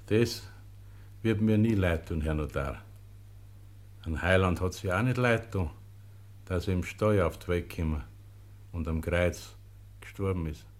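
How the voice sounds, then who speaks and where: A deep male voice as a devout young farmer in a radio play. He speaks simply and earnestly, humble in tone but quietly resolute. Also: a little slow, long pauses, a little hiss or hum. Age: 30s. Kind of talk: German radio play acting.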